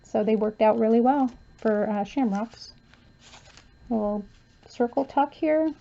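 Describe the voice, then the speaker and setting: Woman, crafter, casual, hoarse, gravelly, dull lo-fi sound. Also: on the high side, measured pace, a little hiss or hum. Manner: soft-spoken, relaxed, with frequent um and uh fillers. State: losing her voice, clearing her throat. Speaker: crafter showing her journal. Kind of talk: casual flip-through commentary.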